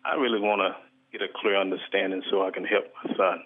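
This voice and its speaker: A man's voice: deep voice, flat delivery, fast, slight background noise. A man calling in to a radio show with an earnest, polite and somewhat rambling question. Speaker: caller. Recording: thin phone line.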